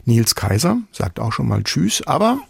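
A man's voice: mid-range voice, sing-song, moderate pace, gravelly, husky, dry recording. A man hosting a radio culture talk, putting questions to a guest in a conversational way.

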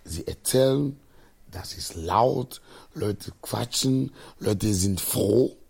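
A man reflects at a very unhurried pace. His voice is fairly low, husky and full of creak. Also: expressive, darkish tone. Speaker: interviewee in a radio feature. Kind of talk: reflective spoken commentary.

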